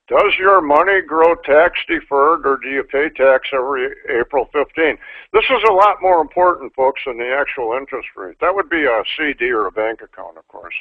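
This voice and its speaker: A man's voice: fairly high pitch, dry recording. Older man lecturing over a tinny line. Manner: folksy asides, frequent restarts. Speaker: insurance trainer. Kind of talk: reading a book aloud with commentary. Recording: tinny phone-like dry sound.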